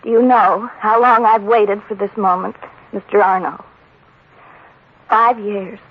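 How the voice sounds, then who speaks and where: A woman's voice: mid-range voice, fairly even intonation, measured pace, slightly rough. An actress playing a distraught, jealous murderess in 1940s radio style, intense and insistent, repeating her phrases. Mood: cold resolve. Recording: dull old radio broadcast recording.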